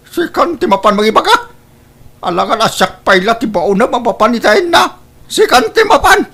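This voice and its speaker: A man with an Ilocano accent playing a stubborn, exasperated son in a comedy radio-style drama, animated and complaining. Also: a little high, a little hiss or hum. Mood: agitated, protesting.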